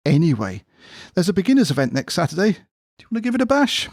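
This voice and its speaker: A man playing a matter-of-fact, comically earnest pub friend in an audio drama, bantering in a deadpan, incredulous way. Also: sits high, fast talker, dry recording, clean studio sound, exaggerated voice. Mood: casual. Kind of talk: audio drama comedy dialogue.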